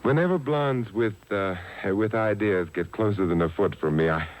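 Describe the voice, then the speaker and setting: A man playing an unflappable gentleman detective in a 1950s radio drama, urbane and dryly witty. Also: fairly low pitch, normal speed, lightly acted, low-quality recording. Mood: suave, amused. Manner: urbane, dry, drawling wit.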